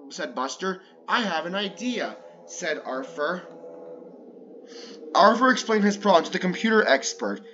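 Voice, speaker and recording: A man's voice: sits high, highs cut off. Man reading a picture book aloud. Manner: breaks off to comment casually between pages; lively, with raised voices for excited lines. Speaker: read-along storyteller.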